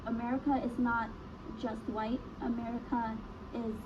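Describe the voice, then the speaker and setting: A woman's voice: fairly high pitch, little pitch movement, moderate pace. A woman from New York speaking thoughtfully and earnestly in a documentary interview. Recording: tinny, noisy documentary clip.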